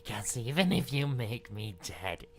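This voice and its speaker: A man's voice: medium pitch, animated, normal speed, a little hiss or hum, pitched above the performer's own voice, close to an everyday voice. A man voicing a menacing, scornful masked captive princess in a video game, mocking and threatening in manner. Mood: taunting, menacing. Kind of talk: dramatic game character acting.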